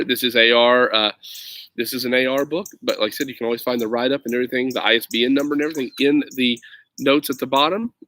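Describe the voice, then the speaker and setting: A man's voice: mid-range voice, slight background noise, poor audio. A man with an informal, chatty, easygoing way of talking, hosting a children's story time and reading aloud expressively, recorded outdoors on a laptop. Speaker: reader of children's stories, teacher-like host. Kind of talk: casual chat and expressive picture book read-aloud. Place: outdoors in a grassy yard on a windy day. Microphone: laptop at about arm's length.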